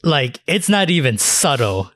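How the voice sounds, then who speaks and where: A man's voice: a little high, sing-song, unhurried, a bit bright, no room sound. Casual, crude-talking man, dry close sound. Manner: informal, crude, profane. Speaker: podcast co-host. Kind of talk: casual chat about anime.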